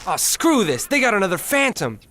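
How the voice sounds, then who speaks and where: A man's voice: mid-range voice, steady tone, moderate pace, no echo, lightly acted. A man with an American accent playing a sardonic, grumbling soldier in a war video game. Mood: exasperated. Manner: sarcastic. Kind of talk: video game cutscene dialogue.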